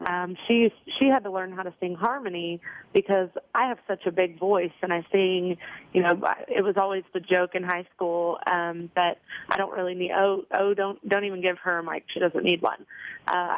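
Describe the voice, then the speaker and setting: Young female country singer, casual and talkative, flat delivery, dry thin phone sound. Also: fairly low pitch, no room sound, narrow, tinny audio. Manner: chatty, many ums and you knows. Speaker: country singer, interview guest. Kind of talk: casual interview answers.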